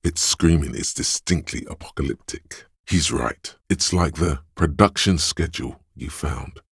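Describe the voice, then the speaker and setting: A man voicing a wry, erudite inner voice that narrates a detective's thoughts in a video game, deliberate and literary, with a gravelly husky tone. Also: extremely low pitch, no room sound, clean studio sound, full character voice. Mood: measured, wry. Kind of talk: scripted second-person narration for a role-playing video game.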